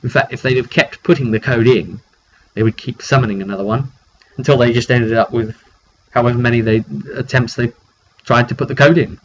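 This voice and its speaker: A man's voice: medium pitch, steady tone, a little fast. British guy game master chatting away about his rpg session, rambly, kinda muffled mic w/ a bit of noise. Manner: chatty and rambling, acting out players' remarks. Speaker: game master recapping a tabletop roleplay session. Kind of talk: casual storytelling monologue. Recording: dull lo-fi mic with faint noise.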